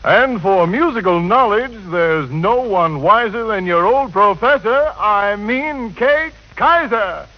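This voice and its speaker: A man's voice: high-pitched, expressive, slow pace, few pauses. A man announcing on 1940s radio in rhymed, scripted introductions. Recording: dull 1940 radio recording.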